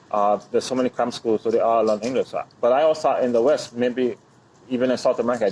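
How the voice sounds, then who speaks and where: Male street interviewer, inquisitive and repetitive, mid pitch, light ambience. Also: a little mellow, a little hiss or hum, rough recording. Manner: curious, repeats words, quick follow-up questions.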